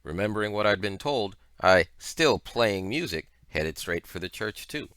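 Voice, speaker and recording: A man reading a story aloud as scripted narration, with expressive, deliberate phrasing. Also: lowish voice, smooth, dry recording. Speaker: audiobook reader.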